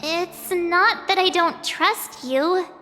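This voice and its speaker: A woman voicing a perky, easygoing teenage girl adventurer in a video game, casual, expressive and a little sheepish. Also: very high voice, slow, full character voice. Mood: casual, resolved. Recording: long hall reverb, game music behind. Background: game music.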